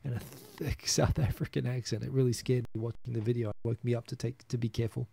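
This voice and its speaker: A man's voice: mid-range voice, little pitch movement, quick pace, creaky, warm tone, slightly rough, dry recording. A man in his thirties with a New Zealand accent, hosting a casual solo live stream, rambling and chuckling as he reads viewer comments. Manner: rambling, chuckling, frequent restarts.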